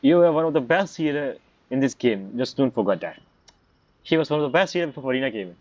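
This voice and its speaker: A man's voice: medium pitch, lively intonation, quick pace, a little mellow, dry recording. A man giving casual let's play commentary as a gamer reacting to the game. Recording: dull lo-fi mic over game music.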